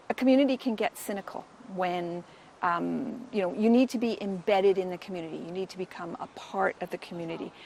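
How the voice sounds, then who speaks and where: A woman in her sixties with a Canadian accent, a volunteer answering interview questions in an earnest, thoughtful way with frequent pauses. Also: fairly low pitch, a little hiss or hum. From Wales. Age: 60s. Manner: earnest, thoughtful, many ums. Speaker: dig volunteer. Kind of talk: on-camera interview.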